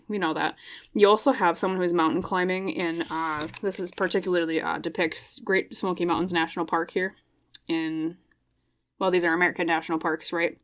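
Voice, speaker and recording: Lady reading tarot cards, bit hoarse, lowish voice, kinda rambly, keeps saying okay. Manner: rambling and reflective, tag questions like "okay?". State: slightly hoarse, scratchy voice. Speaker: tarot reader. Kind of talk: intuitive pick-a-card tarot reading. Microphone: microphone.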